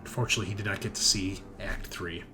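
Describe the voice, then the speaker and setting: A man's voice: low voice, fairly even intonation, fast talker. Man game master over noisy audio. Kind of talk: tabletop game debrief, reading out and commenting casually. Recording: noisy room sound.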